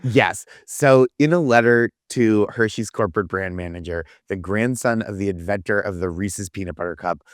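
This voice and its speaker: A man's voice: fairly low pitch, moderate pace, few pauses, no room sound, studio quality. An American man chatting casually and comically as a panelist on a news comedy podcast. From United States.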